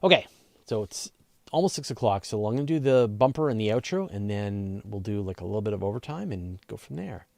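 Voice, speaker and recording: A man with a relaxed, dark-toned voice hosts a casual live question show. He explains things conversationally, working through his thoughts out loud. The sound is dry, with very little room around him. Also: fairly low pitch, fairly quick, vocal fry, dry recording. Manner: conversational, explanatory, thinks aloud. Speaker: host of a space and astronomy question show.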